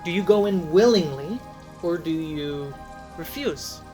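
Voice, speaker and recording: A man's voice: on the high side, expressive, measured pace, warm tone, faint music behind. A man working as game master, narrating a tabletop fantasy game in a conversational way and calling out rules.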